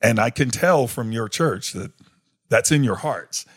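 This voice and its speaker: A man's voice: mid-range voice, expressive, a little slow, breathy edge, dry recording, clean studio sound. A middle-aged man, a pastor, hosting a casual podcast interview, rambling with many fillers and restarts.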